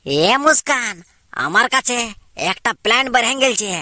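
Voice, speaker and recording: A man acts out a young secret lover in a homemade cartoon. The voice is very high, bright and dry, with a Purulia Bengali accent. He comes across as cocky and insistently plotting. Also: lively intonation, normal speed, no room sound, exaggerated voice. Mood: scheming. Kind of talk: amateur cartoon dialogue.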